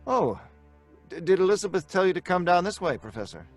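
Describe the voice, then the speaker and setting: An actor playing an obsessed young scientist in old-time radio horror style, earnest, dramatic and deliberate. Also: male voice, high voice, big pitch swings, faint music behind. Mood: nervous, polite.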